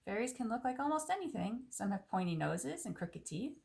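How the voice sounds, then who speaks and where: A woman reading a children's picture book aloud in an expressive, clearly phrased way, with casual asides. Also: fairly high pitch, brisk.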